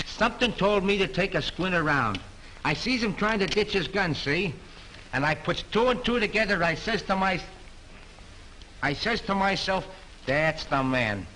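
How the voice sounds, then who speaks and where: A man's voice: high voice, lively intonation, unhurried, pushed and bright, a little hiss or hum, full character voice, rough recording. An actor playing a cocky, tough-talking male studio guard who boasts in slangy street grammar, in 1930s film style. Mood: boastful.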